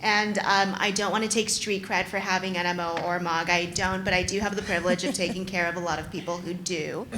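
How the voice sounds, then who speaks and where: A woman's voice: on the low side, fairly even intonation, a little fast, hardly any pauses, fairly bright tone, slight background noise, rough recording. A woman neurologist explaining things to patients at a live panel, thoughtful and hesitant, with many ums. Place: event venue in front of an audience.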